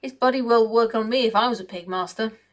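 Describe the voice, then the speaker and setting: A woman voicing a gruff, cheeky old male servant who talks bluntly and colloquially. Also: a little high, fast, close to an everyday voice.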